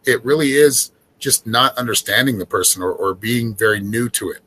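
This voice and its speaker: A man's voice: medium pitch, normal speed, sharp tone, husky, rough recording. A man in his forties who grew up in a small town, hosting an earnest, casual conversation about spirituality and now and then swearing to make a point. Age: 40s. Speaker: spiritual host.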